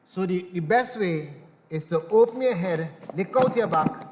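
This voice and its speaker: A man's voice: high-pitched, expressive, unhurried. A man acting in a stage play as a vexed, grumbling local man, with a Trinidadian accent and an exasperated, rambling delivery. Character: vexed, grumbling man from the block, opposing guns. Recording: echoey hall with background noise.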